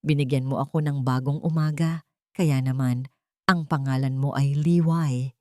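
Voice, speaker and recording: A tender mother, acted by a woman narrator, speaks softly and lovingly to her baby in an audio story. Her voice is low and emotional, recorded dry and close. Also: steady tone, moderate pace, dry recording. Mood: tender, hopeful. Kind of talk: storybook-style drama narration.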